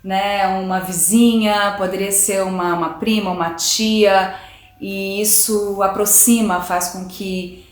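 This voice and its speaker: Brief: female actress in an interview, eager and reflective, mid pitch, echoey room. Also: steady tone, slow. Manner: enthusiastic, reflective, hesitant restarts. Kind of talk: interview talk.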